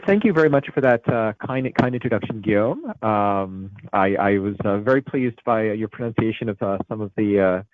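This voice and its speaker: A man's voice: on the low side, dry recording. A man with an American accent presenting a finance webinar over a video call, with frequent fillers and restarts. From Italy. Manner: many fillers and restarts, conversational lecturing. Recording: tinny phone-like line, dry.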